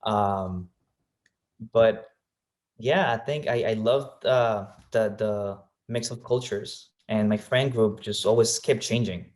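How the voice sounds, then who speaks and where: Interview guest: young man from Colombia, lowish voice, reflective, lots of ums. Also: takes it slowly, a little mellow. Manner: thoughtful, many fillers and restarts. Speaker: guest, real estate agent. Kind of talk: casual interview answers.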